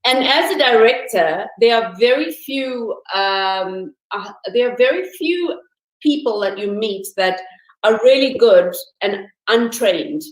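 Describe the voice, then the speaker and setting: A woman's voice: fairly high pitch, animated, slow pace, roomy sound, low-quality recording. A woman speaking as a guest director and actress, chatty and self-deprecating, with frequent restarts. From South Africa. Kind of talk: casual interview chat.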